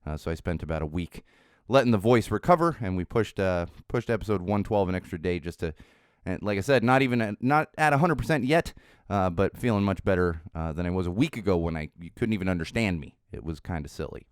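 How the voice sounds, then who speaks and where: A middle-aged man hosting a solo podcast, his voice slightly raspy while it recovers, chatting in a rambling way with lots of uhs. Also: low-pitched, expressive, fast, no echo, clean studio sound. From Southern California. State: recovering from lost voice. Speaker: podcast host.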